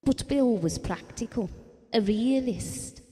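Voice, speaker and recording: A woman's voice: a little high, expressive, slow pace, a crowd in the background. A woman playing a fragile, grieving mother in an audio drama, tender, haunted and reflective. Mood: wistful. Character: Ellen, fragile, grieving mother clinging to lost daughter. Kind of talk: intimate audio drama monologue. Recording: long reverberant hall sound.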